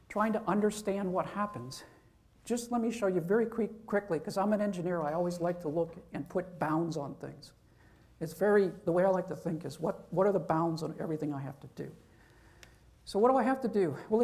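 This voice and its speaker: A man's voice: high-pitched, darkish tone. A man, a professor, giving an informal university lecture, talking conversationally and checking in with "okay?".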